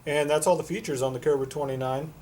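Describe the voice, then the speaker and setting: A man walks through a product in a casual, plain-spoken way. His voice is on the mellow side and stays fairly level in pitch. There is some faint background noise in the recording. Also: steady tone, fast talker. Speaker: product reviewer for a CB radio shop.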